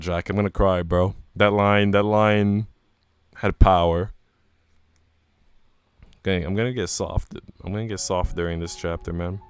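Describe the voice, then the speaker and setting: A man with a fairly low voice reads a visual novel aloud on a live stream. He is informal and chatty, slipping into slangy remarks to his viewers. Music plays faintly behind him. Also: clean tone. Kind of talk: live stream read-aloud with casual asides to chat.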